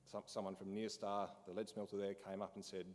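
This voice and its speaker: A business owner giving an informal talk at a briefing, halting and full of fillers. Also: male voice, fairly low pitch, flat delivery, darkish tone. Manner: casual, halting, many fillers and restarts. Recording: long hall reverb.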